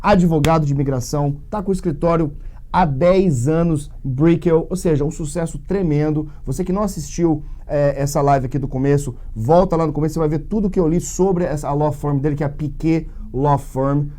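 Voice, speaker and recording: Mellow, higher-pitched Brazilian male host. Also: brisk. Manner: informal, effusive. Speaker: live show host. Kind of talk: casual chat interview.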